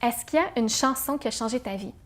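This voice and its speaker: A woman's voice: fairly high pitch, fast. A woman hosting a talk show, asking questions and giving brief prompts and affirmations. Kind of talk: interview host questions.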